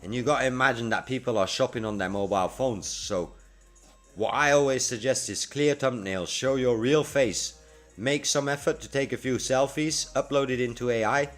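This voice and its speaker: A man around forty streams live with music playing faintly behind him. He chats casually to his viewers, using plenty of slang and keeping an easygoing manner. His pitch sits in an ordinary middle range. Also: moderate pace, fairly bright tone. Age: 40s. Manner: laid-back, slangy. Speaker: livestreamer and freelance web developer. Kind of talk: casual livestream chat with viewers.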